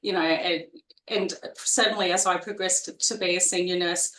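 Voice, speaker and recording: A woman's voice: fairly low pitch, fairly even intonation, normal speed, fairly bright tone, roomy sound, low-quality recording. A woman in her forties with a strong Australian accent, a nurse chatting as an interview guest, talking freely with many fillers and restarts. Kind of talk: casual interview conversation.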